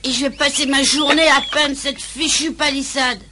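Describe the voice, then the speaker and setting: A woman's voice: sits high, steady tone, normal speed, background noise, natural voice. A woman playing a cheeky, defiant young boy in a French cartoon dub. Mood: grumbling, defiant. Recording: cartoon soundtrack with music behind.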